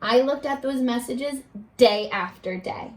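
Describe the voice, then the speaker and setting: A woman teacher reading a picture book aloud to young children, expressively, pausing to put questions to them. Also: high voice. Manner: animated, addressing children with questions and pauses.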